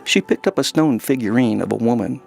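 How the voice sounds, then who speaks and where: A man's voice: medium pitch, expressive, fairly quick, vocal fry, darkish tone, faint music behind. A man reading scripted fantasy narration as the storyteller of an audio drama.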